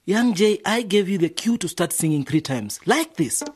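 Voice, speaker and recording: A husky, exasperated male music producer from a radio drama. He scolds bossily and emphatically, with a very animated pitch. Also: normal speed. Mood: annoyed.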